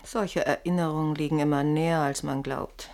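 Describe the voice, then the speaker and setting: A woman's voice: deep voice, fairly even intonation, normal speed, a little mellow. An actress in a German radio play voicing a cool, probing woman who provokes and reproaches, controlled and pointed in manner. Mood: knowing, taunting.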